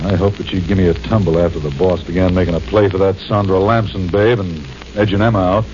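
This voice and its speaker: An actor playing a shady male gangster turned tipster in a 1940s radio drama, talking low and blunt. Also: fairly even intonation, fast talker, darkish tone, natural voice. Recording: muffled old radio transcription with hiss.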